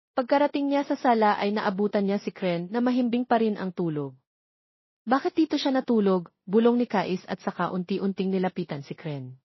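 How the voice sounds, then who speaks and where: Filipino lady reading a romance story, kinda raspy, bit dull lo-fi audio. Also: mid-range voice, no room sound. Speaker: story narrator. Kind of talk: scripted romance story narration in storytelling manner.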